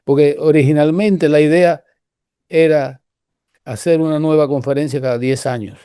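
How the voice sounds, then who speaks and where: Older Cuban man, mellow halting storyteller. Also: on the high side, steady tone, clean tone, no echo, studio quality. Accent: Cuban Spanish. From Cuba. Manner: rambling, halting with many restarts. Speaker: guest researcher. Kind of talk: casual conversational storytelling.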